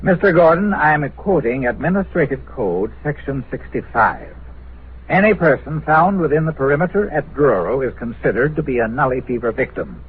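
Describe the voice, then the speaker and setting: A man acting a stern, officious health commissioner in a mid-century radio drama, formal and deliberate in his speech. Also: medium pitch, expressive, husky. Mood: stern, grave. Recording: tinny, noisy vintage radio recording.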